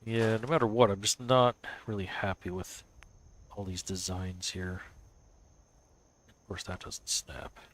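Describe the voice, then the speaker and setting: A man's voice: fairly low pitch, little pitch movement, measured pace, pauses often, a little hiss or hum. A man with a cold, stuffy and sniffly, casually thinking aloud as he builds in a game on a live stream. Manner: thinks aloud, muttering to himself and chuckling.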